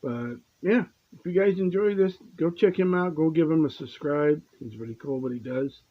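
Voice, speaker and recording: A man's voice: on the high side, moderate pace. Casual man reacting, slightly dull sound. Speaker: reaction video host. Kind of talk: casual off-the-cuff reaction commentary.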